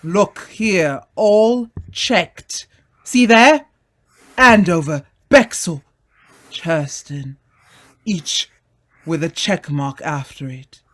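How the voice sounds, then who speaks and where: A man playing an earnest, take-charge gentleman amateur detective with theatrical delivery in an old-time radio play. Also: fairly high pitch, very slow, long pauses. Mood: urgent, resolute. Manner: theatrical, exclamatory.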